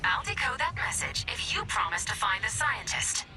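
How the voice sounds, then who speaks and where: A woman's voice: on the high side, sing-song, normal speed. A woman voicing a snarky female AI computer that talks down to humans with a sarcastic, cutting manner, in video game voice acting. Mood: snarky, scornful. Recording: game audio over music.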